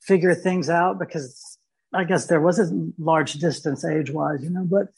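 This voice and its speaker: A man from Mississippi, a guest artist talking thoughtfully in an interview, pausing and restarting as he searches for words. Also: fairly high pitch, steady tone, creaky, darkish tone, slightly rough. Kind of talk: reflective interview talk.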